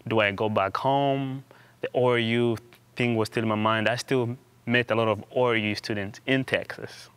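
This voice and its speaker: A young man from Uganda, a student guest in an interview, telling his story in a halting way with frequent restarts and repeated words. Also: mid-range voice, steady tone, a bit bright, clean tone, no echo. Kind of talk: conversational interview.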